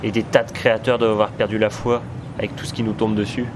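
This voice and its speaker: A man's voice: on the low side, flat delivery, fast, background noise, close to an everyday voice. A man playing a beleaguered manager of a broke little company in an amateur audio comedy, dry, matter-of-fact and evasive. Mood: casual, resigned. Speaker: voice actor.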